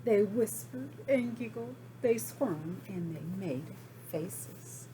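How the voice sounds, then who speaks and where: A woman reading a children's story aloud as expressive narration, with deliberate, dramatic phrasing. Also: medium pitch, background noise. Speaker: storybook reader.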